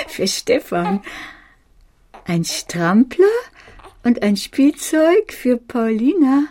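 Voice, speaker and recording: An actress playing a brisk, excitable young mother in a naturalistic radio drama, exclaiming in short bursts. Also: on the high side, noisy background, full character voice. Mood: pleased, murmuring.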